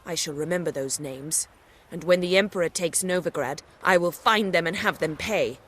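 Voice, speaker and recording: An actress playing a proud, stern imperial woman in a video game, speaking in a formal, measured, haughty way. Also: fairly low pitch, a bit bright, a little hiss or hum, lightly acted. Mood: cold, vengeful.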